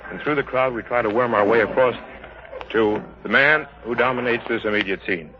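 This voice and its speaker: A man's voice: mid-range voice, brisk. Formal radio reporter, dull vintage sound, male. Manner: florid, formal announcer phrasing. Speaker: dressing-room radio reporter. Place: indoor stadium dressing room. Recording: dull 1930s radio broadcast.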